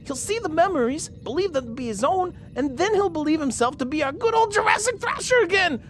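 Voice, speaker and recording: A woman's voice: very high-pitched, expressive, fairly quick, faint music behind. A woman voicing a cranky, cocky male robot rat in an audio drama, streetwise, sarcastic and grumbling. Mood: excited. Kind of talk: audio drama character acting.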